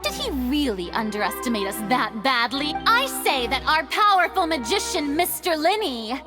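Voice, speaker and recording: High theatrical haughty young woman. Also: sing-song, moderate pace. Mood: smug, accusing. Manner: grandiose, dramatic, self-assured. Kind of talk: video game voice acting. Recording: loud music mixed behind.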